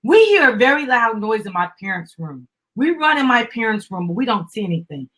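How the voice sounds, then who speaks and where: A woman's voice: a little high, sing-song, a little fast, a bit bright, husky, poor audio. A woman doing a live dramatic reading of a children's book as host, with chatty, upbeat asides and encouraging prompts to a small child.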